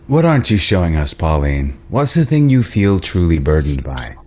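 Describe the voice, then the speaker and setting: A man's voice: low-pitched, big pitch swings, normal speed, darkish tone. A man playing a discreet, probing auction agent in a table read of a horror film script. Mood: smooth, probing.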